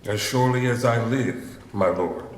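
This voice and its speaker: A man's voice: on the low side, close to an everyday voice. Man acting a grave, echoing bishop. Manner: solemn, measured. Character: grave bishop reporting a banished lord's death. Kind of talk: Shakespearean radio acting. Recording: echoey radio drama room sound.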